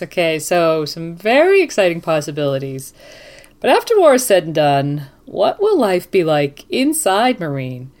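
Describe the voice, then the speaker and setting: A woman with a fairly low voice co-hosts a podcast. Her delivery is composed and deliberate, with the measured feel of someone presenting or reading prepared material. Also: darkish tone. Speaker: podcast co-host.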